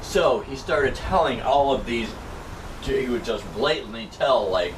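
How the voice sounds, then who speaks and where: A man's voice: medium pitch, measured pace. A man from upstate New York telling a long, rambling personal story in casual chat. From Norwich, New York. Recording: distant mic with steady background noise.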